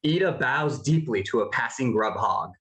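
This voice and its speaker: Narrator for a cartoon script read: animated, expressive delivery of stage directions. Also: medium pitch, normal speed, low-quality recording.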